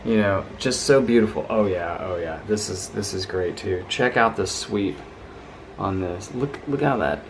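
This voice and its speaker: A man's voice: low-pitched, animated, unhurried, slight background noise. A man giving an informal, rambling hands-on show-and-tell as a hobbyist, with an enthusiastic, marveling manner. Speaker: vintage radio hobbyist presenting a video.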